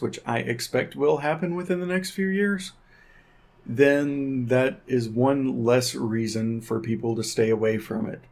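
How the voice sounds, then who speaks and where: A man's voice: mid-range voice, darkish tone. A man giving casual, unscripted tech commentary as a video host, in a conversational, opinionated way.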